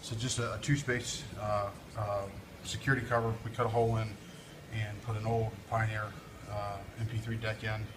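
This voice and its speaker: A man's voice: fairly low pitch, flat delivery, moderate pace. A man giving a casual, off-the-cuff equipment walkthrough in an informal, explanatory way, recorded indoors on a handheld camera. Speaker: video presenter, DJ and PA technician. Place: indoors, brick-walled workshop building. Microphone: handheld camera or phone, speaker off screen. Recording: noisy handheld camera audio with room ambience.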